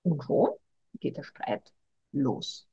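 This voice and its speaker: Woman, middle-aged, reflective advice, hesitant pauses, muffled sound. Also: very deep voice, expressive, mellow, husky. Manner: thoughtful, hesitant, addresses listener directly. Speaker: communication coach. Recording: dull lo-fi recording.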